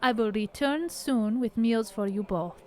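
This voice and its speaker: An actress playing a calm, caring nun who speaks in a gentle, grave, measured way in an audio drama. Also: female voice, on the high side, a little hiss or hum, close to an everyday voice.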